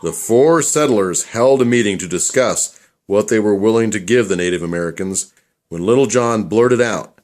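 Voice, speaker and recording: A man's voice: on the low side, normal speed, darkish tone. A man reading scripted storybook narration for a puppet show.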